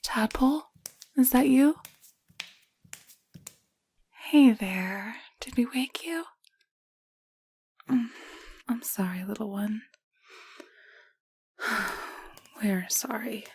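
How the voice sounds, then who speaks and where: Husky, tender motherly nurse played by a woman. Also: a little high, slow pace, long pauses, heavily acted. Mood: tender, soothing. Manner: gentle, soothing, often dropping into whispers. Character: tender, protective motherly nurse, cooing over a foundling child. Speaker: voice actor in an audio roleplay. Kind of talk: soft intimate audio roleplay acting.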